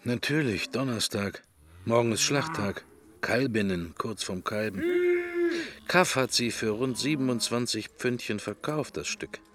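A man's voice: mid-range voice, animated, measured pace, lightly acted. An actor playing a musing, wry middle-aged man in a German radio drama, speaking his thoughts in clipped, understated fragments. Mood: calculating, musing.

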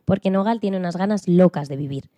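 A woman's voice: on the low side, brisk, dark tone, no room sound, lo-fi sound. A woman co-hosting a radio show, telling things in an informal narrating style.

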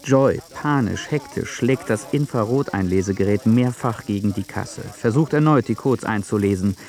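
A man's voice: fairly low pitch, moderate pace, a little mellow, natural voice. A man narrating a scripted radio drama.

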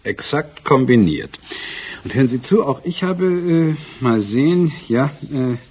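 An actor playing a brisk, wry police inspector in a German radio drama, dry and methodical in manner. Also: male voice, mid-range voice, lively intonation, darkish tone, breathy edge.

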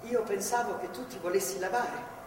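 A woman's voice: mid-range voice, moderate pace, a little mellow, natural voice. Actress as folksy older woman jailer, very echoey. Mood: chatty, patient. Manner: folksy, rambling, matter-of-fact. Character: older motherly woman guarding a captive, chatty, stubborn, wry. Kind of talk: theatrical stage drama acting. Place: theatre stage, picked up from afar. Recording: echoey hall, distant mic, noisy ambience.

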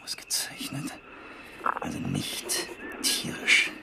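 An actor playing a hurried, polite forensic scientist and boss in a naturalistic radio play, businesslike and probing with hesitant pauses. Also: male voice, whispered, close to an everyday voice.

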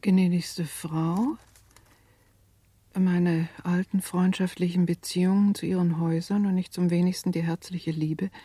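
Husky, mellow elderly doctor. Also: male voice, sits high, dry recording. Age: older. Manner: formal, deferential, earnest. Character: elderly male family doctor, courteous, earnestly concerned. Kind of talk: radio play, formal letter read aloud.

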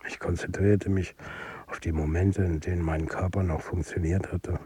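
A troubled football coach who confides his own story in a radio drama. His low voice is mellow and somewhat husky, a family man narrating in the first person. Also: steady tone, moderate pace. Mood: brooding, subdued. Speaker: narrator and lead character.

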